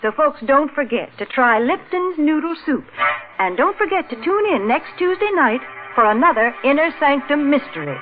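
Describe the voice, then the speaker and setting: A woman announcer giving a chatty, homespun sponsor pitch in 1940s radio style. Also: expressive, normal speed, soft background music. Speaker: commercial announcer and host's foil. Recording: thin, narrow old radio recording.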